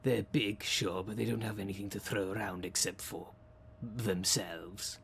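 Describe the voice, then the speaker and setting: A man's voice: on the low side, fairly even intonation, a little slow, creaky, fairly bright tone, a little hiss or hum. A man playing a theatrical demon caretaker in an audio roleplay, formal and grandiose, with a dry sardonic salesman's manner. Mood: dry, businesslike. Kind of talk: scripted audio roleplay, addressing the listener.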